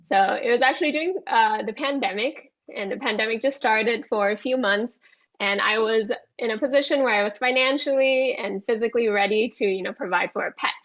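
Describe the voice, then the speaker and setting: A woman's voice: a little high, a little fast, fairly bright tone. A woman from Thailand, a guest in a casual interview, talking in a friendly way and laughing often. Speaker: guest, pet social media creator. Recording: dull lo-fi sound.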